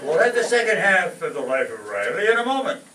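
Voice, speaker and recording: A man playing an old-time radio announcer, delivering an emphatic sponsor pitch. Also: very animated, brisk, a crowd in the background. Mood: salesmanlike. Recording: roomy, distant stage pickup with faint noise.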